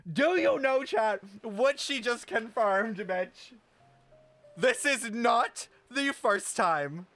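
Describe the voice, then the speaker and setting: A high-voiced man streams a live game reaction, gushing and dramatic, swearing freely and repeating his exclamations. Game music plays faintly behind him. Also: animated, slow, fairly bright tone.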